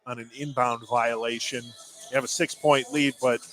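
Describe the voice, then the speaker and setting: American man analyzing basketball, mid-pitched voice. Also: steady tone, moderate pace, fairly bright tone, rough recording. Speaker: color commentator. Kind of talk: conversational basketball game analysis on radio.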